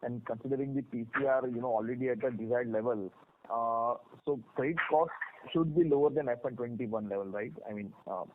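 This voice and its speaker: Over a thin telephone connection with slight noise, a man with an Indian accent puts questions as a financial analyst. His phrasing is halting and padded with hesitations, as on a conference call. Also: medium pitch. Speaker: securities analyst asking questions on an earnings call. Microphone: telephone conference line. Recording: narrow phone line with faint noise.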